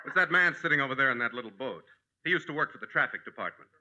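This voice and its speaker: A man's voice: mid-range voice, animated, racing, muffled recording, close to an everyday voice. A man acting a helpful fellow tourist who interprets, matter-of-fact, in a 1950s radio comedy. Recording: very noisy, hissy 1950s radio recording.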